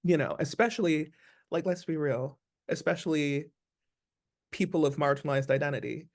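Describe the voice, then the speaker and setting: A man's voice: fairly high pitch, moderate pace. A man speaking as an interview guest, giving advice conversationally in an earnest, emphatic way, repeating phrases for stress.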